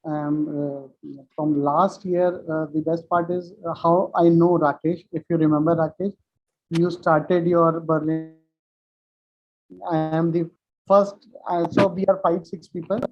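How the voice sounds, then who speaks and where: Man, halting, muffled video call. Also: fairly high pitch, flat delivery. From India. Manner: halting, many fillers and restarts. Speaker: UX manager. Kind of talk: casual self-introduction.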